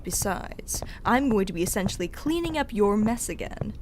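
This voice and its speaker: A woman's voice: mid-range voice, lively intonation, normal speed, background noise, close to an everyday voice. A woman playing a prickly, diligent junior agent in an audio drama, stiff and aggrieved. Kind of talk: scripted audio drama acting.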